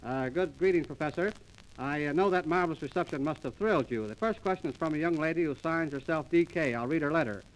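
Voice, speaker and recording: A male announcer of an early radio comedy show with a somewhat high-pitched voice. He introduces material with mock-grand formality, as if reading a script. The sound is an old 1930s transcription disc with some music. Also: fast talker, poor audio. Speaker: comedy announcer and master of ceremonies.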